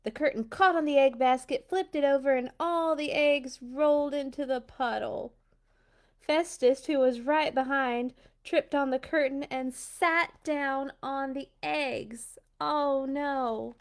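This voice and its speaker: A woman reading a children's storybook aloud, dramatic and expressive, with little personal asides. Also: high voice, slow. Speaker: storytime reader.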